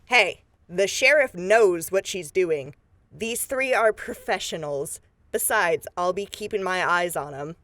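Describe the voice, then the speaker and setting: A woman's voice: fairly low pitch, animated, unhurried, a bit bright, no room sound. A woman acting a confident deputy in a post-apocalyptic audio drama, with a casual, needling, folksy way of talking. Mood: persuasive, teasing. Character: confident, folksy female deputy, teasing but firm.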